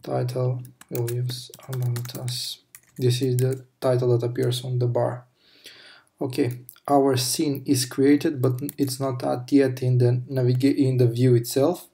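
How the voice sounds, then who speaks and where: A man talking through a coding tutorial over a screen recording, explaining step by step with pauses and self-corrections. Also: mid-range voice, flat delivery, vocal fry, warm tone. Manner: slow, halting explanation with fillers and self-corrections. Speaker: programming tutor.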